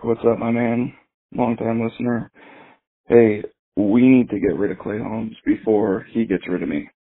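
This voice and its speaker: Raspy male caller on the phone. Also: fairly low pitch. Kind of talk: casual fan voicemail. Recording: narrow phone line.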